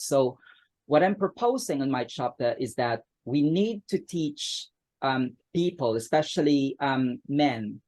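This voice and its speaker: A man's voice: mid-range voice, a little mellow. A man from the Philippines, answering interview questions hesitantly, with many ums and restarts. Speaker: guest author.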